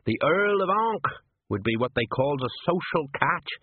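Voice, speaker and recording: A man's voice: a little high, lively intonation, moderate pace, dry recording, pitched above the performer's own voice. A man voicing an aging, worried watch sergeant with rambling, folksy working-class talk, on a dry, tinny recording. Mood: scheming. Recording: dry husky phone-like audio.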